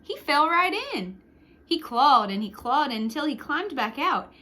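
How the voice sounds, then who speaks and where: A woman reading a picture book aloud to young children, expressively, with short hushed asides to the listeners. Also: sits high, measured pace. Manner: expressive, with hushed whispered sound words and asides. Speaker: storytime reader for young children.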